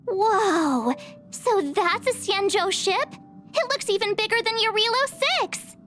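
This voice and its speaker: A woman voicing a bubbly, excitable teenage girl adventurer in a video game, with a peppy, chatty manner. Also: very high-pitched, a little slow, exaggerated voice. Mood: amazed. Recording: game music behind the voice.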